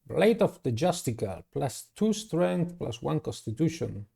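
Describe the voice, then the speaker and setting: A man doing a playthrough of a visual novel, reading it aloud and commenting in a deliberate, halting way. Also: on the high side, very animated, creaky, mellow, husky. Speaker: gamer playing and narrating a visual novel. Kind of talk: dramatic read-aloud with casual playthrough commentary.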